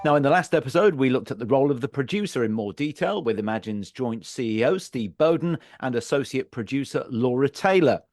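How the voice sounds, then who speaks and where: A man hosting a podcast, introducing and interviewing in a measured, articulate presenter's delivery. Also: mid-range voice, animated, a little mellow, dry recording, studio quality, soft background music. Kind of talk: scripted introduction and interview questions.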